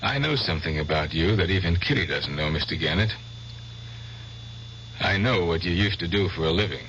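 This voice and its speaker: Low-voiced, earnest, careful doctor. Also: male voice, lively intonation, a little fast. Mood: grave, probing. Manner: hesitant, formal, careful. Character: earnest, probing male doctor, polite and measured. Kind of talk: 1970s radio drama acting. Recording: dull, faintly noisy old radio recording.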